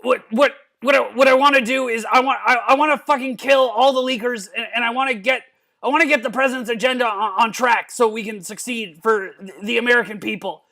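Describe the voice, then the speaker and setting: A man voicing a belligerent, drunken old mad scientist in a cartoon-style comedic reading, gravelly and stammering, repeating himself and cursing. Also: extremely high pitch, steady tone, brisk, pushed and bright, exaggerated voice. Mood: agitated, boastful. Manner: stammering, repeating words, profane.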